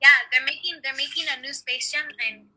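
A woman's voice: sits high, fairly even intonation, brisk, bright, forceful tone. A young woman chatting casually with fans on a video call, laughing often and speaking with lots of fillers. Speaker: singer answering fan questions. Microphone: video call on a phone.

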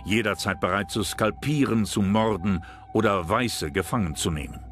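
Low-voiced male documentary narrator. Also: normal speed. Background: music.

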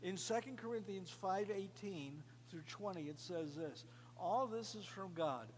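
An American man in his fifties from New York, a pastor giving an earnest, emphatic sermon with repeated phrases and pauses. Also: high voice, darkish tone. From New York, United States. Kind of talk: sermon to a small congregation. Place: indoors, cafeteria used as a church hall. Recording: clearly noisy room recording with hum.

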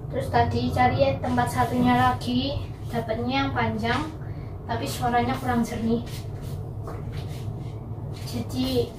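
A young woman chatting casually to viewers in a halting, self-correcting way, often asking them questions. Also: on the high side. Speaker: vlogger. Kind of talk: casual vlog chat, testing a microphone. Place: indoors, hard-walled rooms at home. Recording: echoey room, distant mic, clear background noise.

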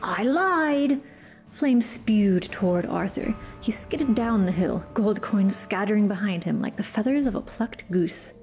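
A woman reads a fantasy story aloud as a podcast host, dropping to a hush when the tension rises. Her voice is dark and mellow, and faint music plays behind her. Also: mid-range voice, a little slow, few pauses. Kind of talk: dramatic story reading.

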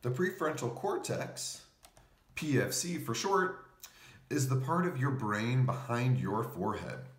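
Male read-aloud narrator for a children's picture book: animated pitch, slow explanatory delivery. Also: reverberant. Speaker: storybook reader.

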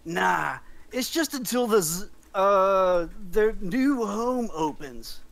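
A man acts a young mad scientist as a chilled surfer bro in a table read. The voice is high and bounces around in pitch, full of easygoing slang. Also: lively intonation, slow, creaky, fairly bright tone, dry recording, full character voice. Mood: casual. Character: Doc, laid-back surfer-dude young male scientist, modest. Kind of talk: scripted table read, acting.

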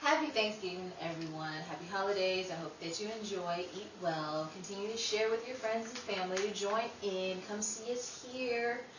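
A woman's voice: mid-range voice, normal speed, hardly any pauses, a crowd in the background. A woman from a show's cast giving an informal holiday sign-off to listeners. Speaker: cast member. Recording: roomy, distant, faintly noisy recording.

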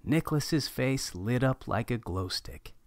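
A man narrating a children's picture book aloud as a read-aloud host, in an animated, expressive storytelling manner. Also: mid-range voice, unhurried, no room sound, clean studio sound.

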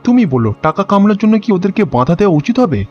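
A man voicing an easygoing older father-in-law in a cartoon, calm and placating. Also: high-pitched, quick pace. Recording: dull lo-fi sound with music.

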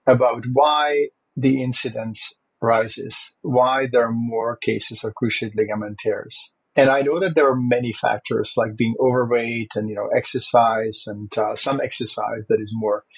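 Husky-voiced man. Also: medium pitch, fairly even intonation, measured pace, poor audio. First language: Czech. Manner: thoughtful, with frequent fillers and restarts. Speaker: host. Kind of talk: conversational interview.

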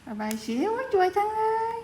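A woman's voice: fairly quick, darkish tone. A woman chatting casually with a small child, coaxing with short, repeated prompts. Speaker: family member filming a young child. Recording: roomy echo, faint background noise.

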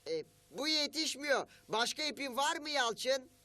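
Eager, unsure young cartoon character: high, bright, slow and halting. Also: male voice, very animated, heavily acted. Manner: hesitant, halting, musing aloud. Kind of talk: children's cartoon voice acting.

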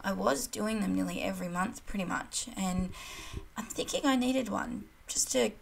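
Woman crafter, hoarse gravelly voice, loose chatty voiceover, middle pitch. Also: normal speed, rough recording. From Australia. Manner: chatty, rambling, self-deprecating, many ums. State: croaky, losing her voice. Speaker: kit club design team crafter. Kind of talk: casual scrapbooking voiceover. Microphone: voiceover recorded separately from hands-on video.

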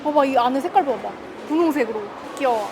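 High-pitched woman bantering on a vlog. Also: animated, fast talker. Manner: playful bantering. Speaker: vlog co-host, wife in a couple channel. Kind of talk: casual vlog chat. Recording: busy noisy urban public places.

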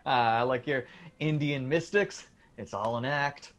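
An actor playing a teasing, sceptical young electrician son in a radio drama, breezy and colloquial. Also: male voice, medium pitch, unhurried, fairly bright tone, lightly acted.

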